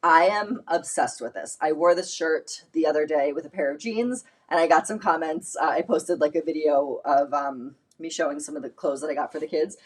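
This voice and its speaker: A woman's voice: sits low, moderate pace, few pauses. A woman hosting a casual live shopping stream, chatty and informal, her voice a little worn from a cold. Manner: chatty, informal, emphatic and conversational. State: voice going from a lingering cold. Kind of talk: casual chatty try-on haul.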